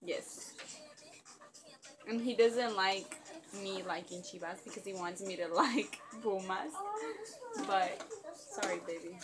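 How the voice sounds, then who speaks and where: A woman's voice: medium pitch, slow, long pauses, a crowd in the background. A young woman from Mexico with a Mexican accent, playfully quizzing her husband on a living room couch and teasing him with lots of laughs. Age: young adult. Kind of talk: casual couple Q&A. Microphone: fixed camera mic.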